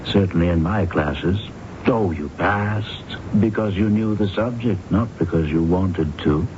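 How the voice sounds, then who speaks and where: An actor playing a concerned, probing university professor in a radio drama. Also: male voice, low-pitched. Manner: deliberate, questioning, avuncular. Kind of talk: 1970s radio drama acting. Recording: muffled, noisy old radio broadcast.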